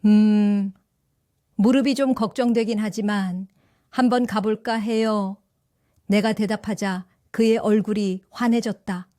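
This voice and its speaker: A woman in her seventies narrating her own story for an audio drama, in a gentle, reflective and wistful way. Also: medium pitch, steady tone, a little slow, no echo, clean studio sound. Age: 70s. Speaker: first-person narrator.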